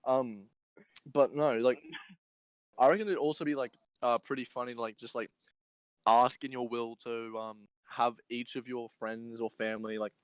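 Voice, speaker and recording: A man's voice: medium pitch, fairly even intonation, moderate pace, smooth, no room sound, low-quality recording. A young man co-hosting a casual comedy podcast, rambling and sweary in an irreverent way. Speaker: podcast co-host.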